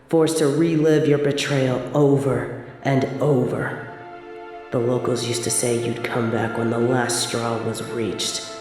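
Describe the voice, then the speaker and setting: A woman narrating a scripted second-person story in a deliberate storytelling manner. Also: a bass voice, darkish tone. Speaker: narrator and voice actor. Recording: very echoey, long reverb, music behind. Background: background music.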